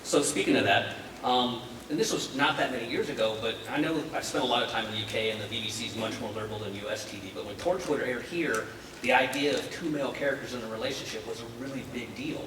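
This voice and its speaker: A man's voice: medium pitch, fast talker. A man asking questions casually at a live panel, phrasing them in an informal, loose way. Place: convention panel room. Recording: echoey room, distant mic, background noise.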